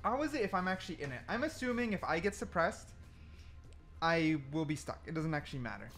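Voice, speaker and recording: A man gives excited gameplay commentary with a very lively, swinging pitch. He thinks out loud in exclamations and repeats himself as he goes, with faint music behind him. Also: quick pace. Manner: repetitive, exclamatory, thinking aloud. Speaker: gaming content creator testing game mechanics.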